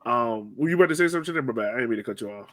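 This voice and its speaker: A man hosting a sports podcast, recapping a match in casual, slangy, animated storytelling. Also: medium pitch, racing. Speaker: podcast host.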